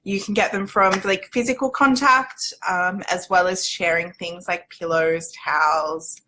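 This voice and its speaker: A woman's voice: on the low side, fairly even intonation, a little slow, pushed and bright. A woman with an Australian accent giving an informal livestream explainer as a company representative, in a chatty, loosely structured way.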